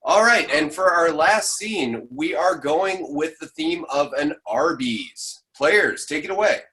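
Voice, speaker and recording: A man's voice: fairly high pitch, animated, slow pace, sharp tone, slightly rough, rough recording. A man hosting an improv show, introducing scenes with wry asides.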